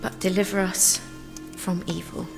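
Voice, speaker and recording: A woman's voice: mid-range voice, flat delivery, normal speed, creaky, music in the background, lightly acted. An actress playing a solemn woman reciting a prayer in an audio drama. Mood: solemn, hushed. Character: solemn woman praying slowly.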